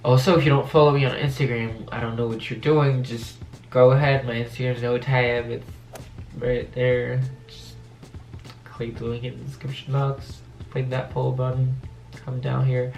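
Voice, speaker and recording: A man's voice: medium pitch, flat delivery, moderate pace, gravelly, a little mellow, roomy sound, soft background music. A young man with a French accent chatting casually to camera as a video blogger, his voice affected by allergies. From France. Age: young adult.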